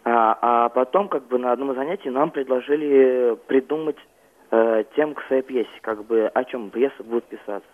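A teenage boy phoning in as an interview guest, answering in a halting, reserved way over a telephone. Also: medium pitch. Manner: halting, reserved, often says "like". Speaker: young amateur playwright, phone-in guest. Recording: muffled, band-limited phone line.

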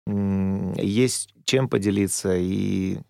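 A man's voice: low voice, steady tone, takes it slowly, vocal fry, darkish tone, dry recording, studio quality. A man as a guest on a radio interview, thinking aloud and searching for words. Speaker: guest musician. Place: radio studio.

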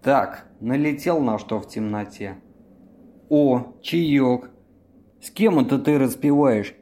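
Man playing slow-talking, cooing, suspicious lover. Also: mid-range voice, warm tone, natural voice. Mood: suspicious, casual. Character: cooing, fussy, suspicious male lover dropping by late. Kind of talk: amateur audio play acting.

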